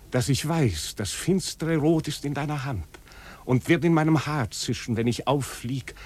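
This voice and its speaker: Proud, brooding prince, declaiming solemnly. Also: male voice, mid-range voice, darkish tone, breathy edge. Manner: declamatory, intense, lyrical questioning. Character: proud doomed prince, brooding and solemn, addressing his servant. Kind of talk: 1950s German radio drama acting, poetic monologue.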